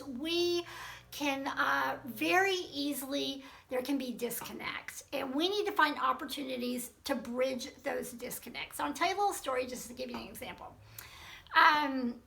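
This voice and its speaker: A woman coach teaching leadership in an earnest, steady way, her voice gravelly from allergies and heavy with fry. Her tone is bright and forward, and she walks through her points in lists with the odd um. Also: sits high, normal speed. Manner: earnest, repeats points in lists, many ums. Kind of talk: recorded teaching talk.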